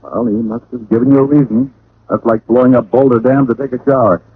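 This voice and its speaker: A man's voice: mid-range voice, fairly even intonation, fairly quick, husky, dry recording. An American actor playing a witty, sardonic private detective who narrates his case in old-time radio style. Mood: teasing. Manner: wry, sardonic. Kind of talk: 1940s-50s radio detective acting and narration. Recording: muffled, hissy vintage radio recording.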